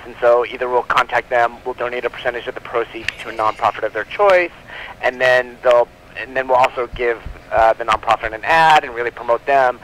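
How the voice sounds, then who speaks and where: Male interview guest, sharp bright tone, loose and chatty delivery with a giggly streak, light noise behind. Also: medium pitch, poor audio. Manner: informal, rambling, giggly. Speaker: comic book publisher, interview guest. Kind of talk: casual interview chat.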